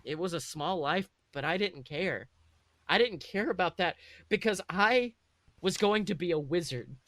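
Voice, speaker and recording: A man plays an eleven-year-old boy wizard telling his own story. The voice is high and bright, with a dry, close sound. He is chatty, proud and excitable, often correcting himself mid-thought. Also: unhurried, no echo. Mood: eager. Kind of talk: first-person dramatic storytelling.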